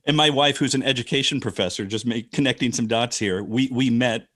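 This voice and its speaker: A man from Northern Illinois hosts a casual podcast chat. He talks easily at a middle pitch and mostly drops in short agreeing words while his guest talks. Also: a little fast, fairly bright tone. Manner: easygoing, brief agreeing replies. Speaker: podcast host.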